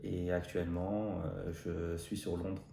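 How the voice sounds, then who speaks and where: A man's voice: deep voice, level tone, slow pace, gravelly, dark tone, echoey room, a little hiss or hum. A man, giving short, hesitant, spontaneous answers as a vlog co-host. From Marseille, France. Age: 20s. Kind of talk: casual spontaneous chat.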